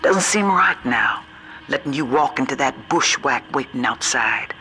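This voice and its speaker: Slow, grave older Southerner. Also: medium pitch, animated, pushed and bright, soft background music, low-quality recording. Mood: grateful, troubled. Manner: slow, deliberate, folksy phrasing.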